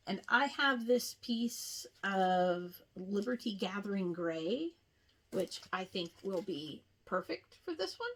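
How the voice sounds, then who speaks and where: A woman hosting a casual craft show-and-tell, chatting in an unhurried way with rambling asides. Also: medium pitch. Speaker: cross-stitch video host.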